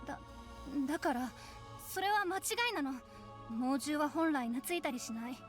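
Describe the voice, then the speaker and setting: A woman voicing a rigid, fearful young female heretic in a visual novel, halting and insistent. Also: sits high, animated. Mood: insistent denial. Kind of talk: Japanese visual novel voice acting. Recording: music behind the voice.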